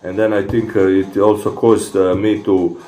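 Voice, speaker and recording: A man with a Croatian accent who speaks Croatian natively, casually answering comments from viewers in a fitness vlog, halting with many fillers and restarts. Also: low voice, level tone, dark tone, echoey room, poor audio. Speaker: fitness vlogger.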